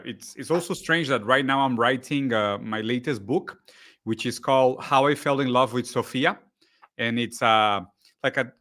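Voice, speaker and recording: A man from Brazil, a guest telling his story in a relaxed, chatty interview with many restarts. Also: medium pitch. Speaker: guest, content creator. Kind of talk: casual interview chat.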